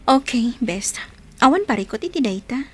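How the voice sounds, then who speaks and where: A woman's voice: fairly high pitch, lively intonation, moderate pace, breathy edge. An actress with an Ilocano accent playing a sweet, shy, single young woman in a radio drama, speaking in a hesitant, coy way. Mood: grateful. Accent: Ilocano, northern Philippines. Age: young adult.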